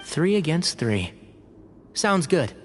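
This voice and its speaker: An actor playing a calm, tactful young physician with a gentle, careful way of speaking in a video game. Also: male voice, on the high side, slow pace, soft background music. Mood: thoughtful.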